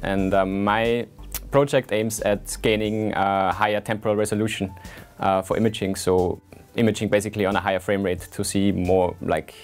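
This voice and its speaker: A man's voice: fairly low pitch, fairly even intonation, normal speed, soft background music. A young man in his twenties from Austria, speaking English, talking earnestly with many fillers as a PhD student in an interview. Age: 20s. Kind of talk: scientist portrait interview.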